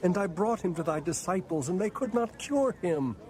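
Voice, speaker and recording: Desperate father: high, swooping, imploring delivery, archaic scripture drama. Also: lively intonation, moderate pace, darkish tone, rough recording. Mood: anguished pleading. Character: desperate pleading father of an afflicted boy.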